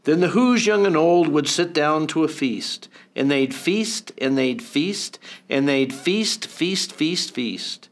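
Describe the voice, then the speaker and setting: Man reading a storybook aloud, expressive. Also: fairly high pitch, takes it slowly. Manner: expressive, rhythmic storybook reading with swings in loudness. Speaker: mayor.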